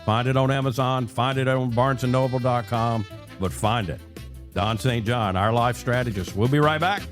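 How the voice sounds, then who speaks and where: An American man hosting a conversational radio interview in a deliberate, emphatic way, repeating phrases for effect. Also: medium pitch, over music. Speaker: radio show host.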